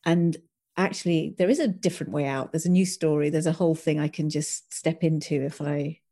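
A woman's voice: low voice, fairly even intonation, fairly quick, dark tone. A woman hosting a podcast, talking in a reflective, affirming way as she responds to her guest. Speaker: podcast host and energy coach. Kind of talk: casual conversational interview.

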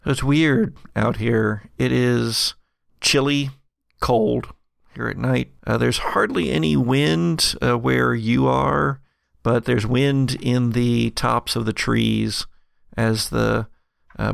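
A man with a creaky, gravelly voice narrating a tabletop horror game as game master, in a halting, deliberate way. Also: medium pitch, fairly even intonation, dry recording, clean studio sound.

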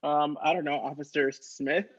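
Higher-voiced man doing improv comedy, slightly muffled. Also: steady tone, moderate pace, breathy edge.

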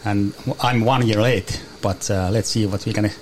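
A man's voice: fairly low pitch, fairly even intonation, normal speed, slight background noise. A man from Finland co-hosting a podcast, speaking carefully and apologetically as he switches languages. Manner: apologetic, careful, switching between Finnish and English. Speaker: podcast co-host. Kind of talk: casual chat.

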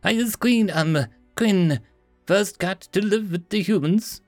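A man's voice: sits high, takes it slowly, darkish tone, no room sound, soft background music, pitched above the performer's own voice. A man voicing a regal, proud female house cat spirit with an Eastern European accent and broken speech.

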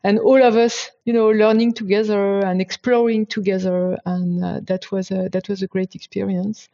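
A woman's voice: medium pitch, fairly even intonation, normal speed, gravelly, dark tone. Woman from France, interview guest, hesitant, lots of fillers, muffled lo-fi sound. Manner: hesitant, many fillers and restarts. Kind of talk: interview answers.

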